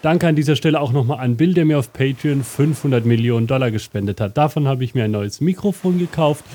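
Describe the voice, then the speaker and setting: A man with a dark, mellow voice at a middle pitch acts as game master of an audio adventure. He rambles in a deadpan, absent-minded way as he hosts. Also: fast talker. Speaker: game master and show host.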